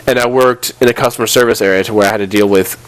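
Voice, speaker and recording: A man's voice: fairly low pitch, steady tone, racing, dry recording, low-quality recording. A nineteen-year-old man telling stories casually while gaming, exasperated and acting out remembered exchanges. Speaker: gaming video host. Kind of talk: casual storytelling while playing a video game.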